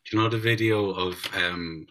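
Very deep, bright-toned man. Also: a little hiss or hum. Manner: jokey, quick quips. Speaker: podcast co-host. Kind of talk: casual banter.

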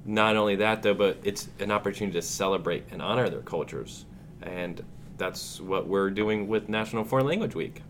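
A man's voice: lowish voice, fairly quick, fairly bright tone, slight background noise. A man, a teacher hosting a school podcast in an upbeat, welcoming way.

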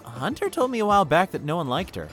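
Actor as an easygoing young man, lively pitch. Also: quick pace, soft background music. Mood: relaxed. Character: easygoing young man, conflict-avoiding, loyal peacemaker friend. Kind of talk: audio drama acting.

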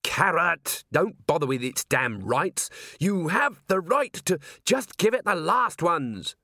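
A man's voice: fairly high pitch, animated, slow pace, no room sound, studio quality, pitched above the performer's own voice, full character voice. A man voicing a fierce, defiant female watch officer in an audiobook. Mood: exasperated. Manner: defiant, shouting.

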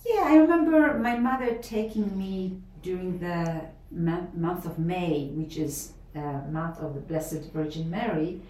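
A woman's voice: lowish voice, expressive, unhurried, few pauses, a little mellow, a little hiss or hum. A middle-aged woman from Poland with a Polish accent, a professor answering interview questions thoughtfully, with many fillers and restarts. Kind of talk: interview conversation. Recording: roomy, a bit distant from the mic, faint ambience.

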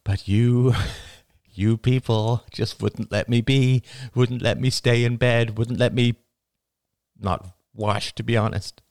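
A man's voice: mid-range voice, steady tone, takes it slowly, darkish tone, no room sound, studio quality, pitched above the performer's own voice. A man voicing an emotional, self-critical middle-aged mother. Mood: earnest, confessional.

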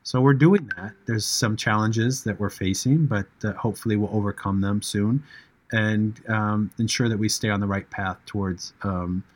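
A middle-aged American man, a charity founder, speaking earnestly and in measured phrases as a guest in a podcast interview, with frequent fillers. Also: fairly low pitch. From United States.

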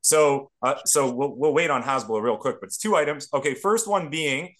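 A man's voice: medium pitch, moderate pace, a bit bright. A Canadian man co-hosting a podcast, speaking with enthusiasm and frequent restarts. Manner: enthusiastic, repeats words as he sets up a question. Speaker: podcast co-host. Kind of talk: casual podcast chat.

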